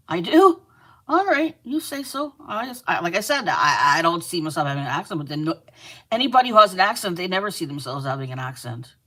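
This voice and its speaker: A woman's voice: low voice, pushed and bright. A middle-aged Canadian woman hosting a live crochet stream, chatting casually, teasing and laughing often while she reads viewer comments aloud. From Manitoba, Canada.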